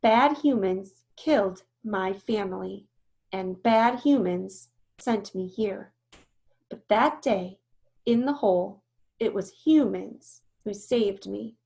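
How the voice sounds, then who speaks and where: A woman's voice: medium pitch, slow, creaky, a little mellow. A woman voicing an eager, earnest young female baby elephant in a read-aloud storybook, telling things in an emphatic, childlike way. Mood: earnest, firm.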